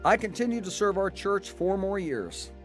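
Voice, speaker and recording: A man's voice: high voice, lively intonation, moderate pace, music in the background. A man acting an earnest, devout husband who tells his own story in a radio drama. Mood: earnest, reflective. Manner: earnest, heartfelt. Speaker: narrator and lead actor. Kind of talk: radio drama acting and first-person narration.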